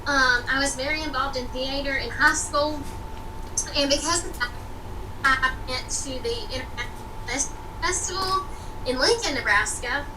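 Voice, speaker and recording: High-voiced young Southern woman, distant mic. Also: moderate pace, pauses often, echoey room. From small town in South Carolina, USA. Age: young adult. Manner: chatty, storytelling. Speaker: actress. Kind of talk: casual personal introduction. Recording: noisy, distant microphone.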